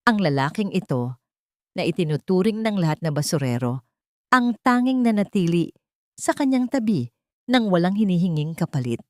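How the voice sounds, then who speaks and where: A woman's voice: lowish voice, darkish tone, dry recording, studio quality. A woman narrating a scripted story in a dramatic storytelling style.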